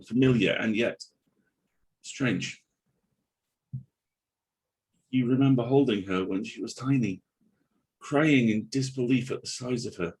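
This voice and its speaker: A man with a husky voice reading stage directions and literary narration from a script. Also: lowish voice.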